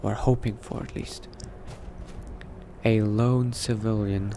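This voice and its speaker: A man's voice: lowish voice, steady tone, takes it slowly, mellow. A man voicing a cautious, coldly pragmatic new vampire in a roleplay, muttering to himself and often dropping into a whisper. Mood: wary, calculating. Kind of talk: in-character roleplay narration, thinking aloud. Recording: noticeable background noise.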